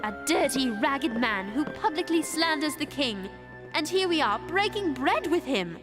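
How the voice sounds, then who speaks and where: Prim cartoon girl, high pitch, lively intonation, earnest, disapproving, strong music behind. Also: a little slow. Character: prim, anxious young English loyalist girl. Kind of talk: animated cartoon voice acting.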